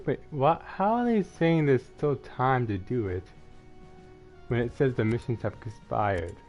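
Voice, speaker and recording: A man's voice: mid-range voice, expressive, a little slow, darkish tone. A man with a slight lisp chatting casually and rambling as he streams gameplay, in a self-effacing way. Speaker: gaming streamer. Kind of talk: casual live gameplay commentary, chatting with viewers. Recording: dull lo-fi mic, music behind.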